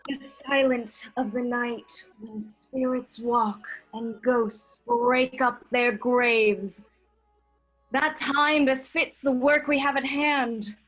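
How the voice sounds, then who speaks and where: A high, slow female voice from an actress gives us a proud noblewoman in a Shakespearean stage reading. She is ambitious and defiant, weighing each line of verse as if casting a spell. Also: fairly even intonation, soft background music, rough recording. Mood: commanding, ambitious. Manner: deliberate, incantatory, swinging between hushed and declaimed. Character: ambitious, defiant noblewoman conjuring spirits, a duke's wife.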